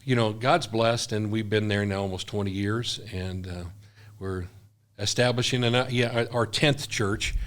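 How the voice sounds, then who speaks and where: A man's voice: lowish voice, steady tone, normal speed. An older man, a missionary guest, talking earnestly in casual conversation, often restarting his sentences.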